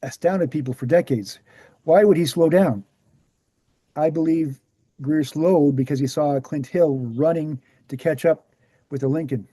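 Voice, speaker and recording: Man acting as a mellow, dark-voiced earnest author-lecturer. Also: on the high side, measured pace, breathy edge, no room sound. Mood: earnest, explanatory. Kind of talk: screenplay table read, explanatory lecture.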